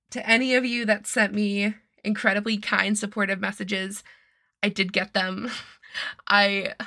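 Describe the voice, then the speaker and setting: A woman's voice: medium pitch, pushed and bright. A young woman from Massachusetts hosting a casual true-crime podcast, chatty and swearing freely, her voice still a bit nasal and strained from being sick. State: slightly nasal and strained from illness. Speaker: podcast host.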